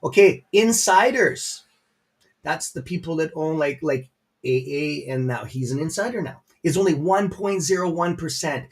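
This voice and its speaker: A man's voice: a little high, animated, normal speed, slightly rough. A Canadian man rambling through stock holdings in a casual livestream chat, with many fillers and a friendly tone. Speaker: guest presenter on a stock livestream.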